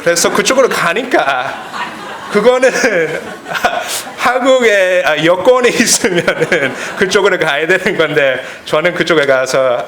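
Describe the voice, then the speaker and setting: A man in his late twenties from the United States with an American accent, preaching haltingly in simple phrases and searching for words. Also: fairly high pitch, a bit bright. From United States, Korean immigrant family. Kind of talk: sermon with personal anecdotes. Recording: echoey room, clear background noise.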